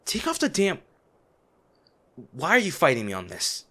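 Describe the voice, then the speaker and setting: A man playing a noble lady's gruff, protective bodyguard, blunt and emotional, in a dramatic fantasy audio roleplay. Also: on the high side, wildly swooping pitch, moderate pace, bright, forceful tone, exaggerated voice. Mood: gruff concern. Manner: exasperated, emotional, blunt, with sighs. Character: gruff, protective male bodyguard of a noble lady, scolding yet anxious.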